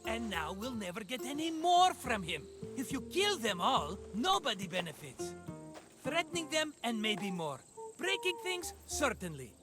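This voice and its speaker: A man's voice: very high-pitched, wildly swooping pitch, normal speed, over music, heavily acted. A man playing a glib, cheerful scheming merchant in a video game, talking in effusive, wheedling salesman patter. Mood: persuasive. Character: glib, cheerful scheming vineyard-owning merchant, male friend. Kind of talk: cinematic video game dialogue acting.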